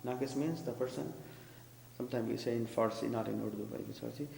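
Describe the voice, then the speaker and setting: A man's voice: mid-range voice, quick pace, vocal fry, darkish tone. Man preaching in an echoey hall. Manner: explains terms, asks the congregation. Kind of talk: sermon commentary. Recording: echoey hall with faint hum.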